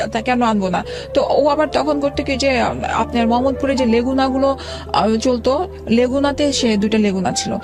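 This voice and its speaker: A woman in her forties, a guest telling her own life story in an interview, speaking haltingly with many pauses and restarts. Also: a little high. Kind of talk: emotional personal account in an interview. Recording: background music under the talk.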